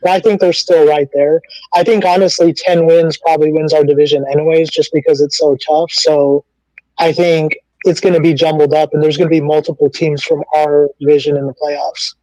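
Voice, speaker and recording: A man on a fan panel giving measured, casual sports opinions over dull lo-fi call audio. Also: on the high side, level tone, warm tone, no room sound.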